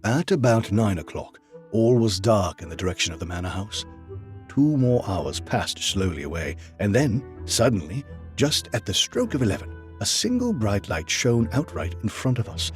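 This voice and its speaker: An actor playing an earnest, apprehensive, loyal gentleman companion and storyteller in an audio drama, with formal dramatic delivery. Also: on the low side, big pitch swings, moderate pace, faint music behind. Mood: suspenseful narration. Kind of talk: audio drama acting and first-person narration.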